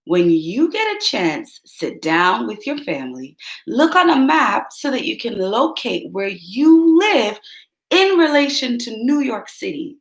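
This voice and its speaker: Female host reading a picture book to kids; animated, fairly high voice, talks to the children directly. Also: unhurried, gravelly. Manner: speaks directly to young listeners, asks them questions. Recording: roomy echo.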